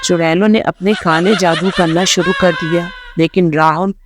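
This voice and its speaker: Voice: medium pitch, fairly even intonation, moderate pace, noisy background. A storyteller reading a scripted fairy tale as narration. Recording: music under the voice. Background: background music.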